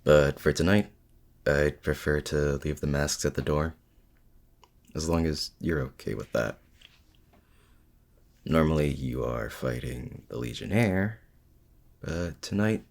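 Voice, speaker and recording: A man's voice: a bass voice, gravelly, exaggerated voice. A man acting in an intimate audio roleplay as a lonely, awkward supervillain and mad scientist, speaking in a hesitant, sincere way. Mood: earnest, wry. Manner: hesitant, halting, softly sincere.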